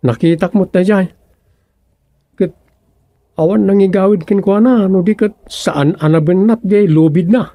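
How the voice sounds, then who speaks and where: A man's voice: high-pitched, measured pace, pauses often, mellow, no room sound. A man playing a doubtful, questioning son in an Ilocano radio comedy, speaking in a plaintive way. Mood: worried.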